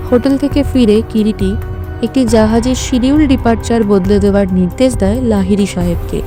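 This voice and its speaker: A woman's voice: on the high side, moderate pace. A woman presenting an audio story series with a scripted introduction and recap. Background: music.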